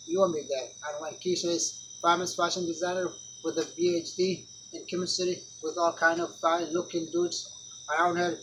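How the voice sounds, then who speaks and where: A man gives voice to a grieving teenage boy who writes to a friend he has lost. The voice sits a little high and moves haltingly, catching on repeated words. Faint crickets sound behind him. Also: a bit bright, a little hiss or hum, natural voice, poor audio. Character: grieving teen boy writing to dead friend. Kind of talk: dramatic monologue reading.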